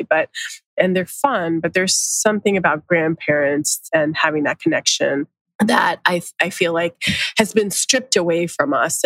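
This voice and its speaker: A woman in her thirties with a light Pakistani accent, chatting candidly and laughing often. Her voice sits a bit low, and the recording is dry and close. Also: fairly bright tone, no room sound, poor audio. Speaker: podcast guest. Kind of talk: casual conversation.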